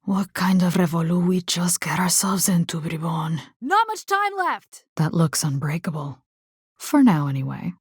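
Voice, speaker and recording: A woman's voice: fairly low pitch, sing-song, moderate pace, husky, dry recording, clean studio sound, full character voice. A woman playing a spirited, caring young combat engineer in a video game, with a Latin American accent and informal, sassy talk that slips Spanish into English. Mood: wary, focused, then alarmed.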